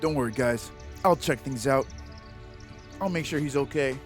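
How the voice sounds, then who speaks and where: A man's voice: medium pitch, moderate pace. Casual male gamer over background music. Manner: joking, slangy. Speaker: let's play streamer. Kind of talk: casual game commentary.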